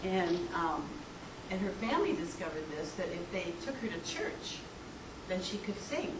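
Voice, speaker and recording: A woman chatting in a relaxed group discussion, telling stories and laughing easily. Her voice comes from a distance in an echoey room. Steady noise runs underneath. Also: on the low side, normal speed. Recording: echoey room from far off, noisy.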